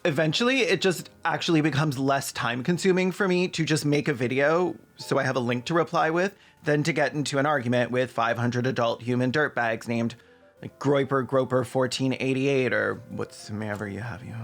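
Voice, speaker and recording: A man with a mid-pitched voice hosts a comedic video essay. His delivery is sarcastic and irreverent, scripted but full of casual asides, with faint music underneath. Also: moderate pace, fairly bright tone.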